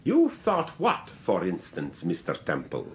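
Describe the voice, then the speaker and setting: A curt, businesslike accomplice in a vintage radio play. His pitch swings widely. A faint rumble sits behind him. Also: wildly swooping pitch, unhurried, exaggerated voice. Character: stern male associate pressing for the spectacles. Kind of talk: 1940s radio acting.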